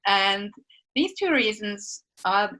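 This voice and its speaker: A woman's voice: medium pitch, expressive, slow pace, pushed and bright, rough recording. Swiss-accented woman co-hosting a chatty podcast. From Switzerland. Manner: chatty, laughs often, restarts sentences. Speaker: podcast co-host. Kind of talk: casual podcast chat.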